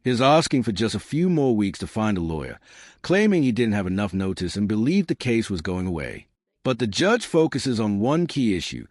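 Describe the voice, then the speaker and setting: A man's voice: fairly low pitch, very animated, normal speed, darkish tone, dry recording. A man narrating scripted, dramatic commentary.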